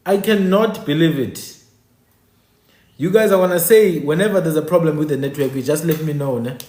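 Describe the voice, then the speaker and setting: A man's voice: fairly high pitch, moderate pace, darkish tone, roomy sound. A South African man, English not his first language, speaking in a passionate, exasperated monologue as host. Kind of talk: casual monologue.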